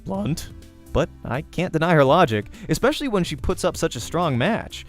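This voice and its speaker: A man's voice: fairly high pitch, very animated, normal speed, soft background music. A man reading a visual novel aloud as a let's-player, narrating the game story expressively.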